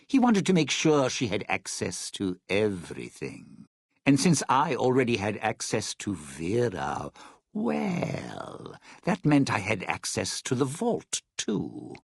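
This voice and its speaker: An actor voicing a scheming, bitter, sardonic crook in a video game, with a sly, theatrical delivery. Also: male voice, lowish voice, wildly swooping pitch, takes it slowly, creaky, husky, no echo, clean studio sound, full character voice. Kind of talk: video game character dialogue.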